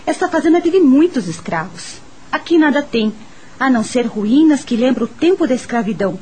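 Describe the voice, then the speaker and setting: An actress with a Brazilian accent playing a helpful, matter-of-fact mansion servant, speaking in a measured, explanatory way in a radio drama. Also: female voice, high-pitched, very animated, mellow, a little hiss or hum.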